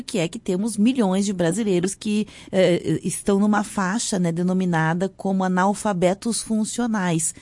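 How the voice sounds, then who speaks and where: A woman, hosting and asking questions in a measured, listing way. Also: mid-range voice, dry recording. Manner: measured, enumerating. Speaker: host. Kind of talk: interview questions.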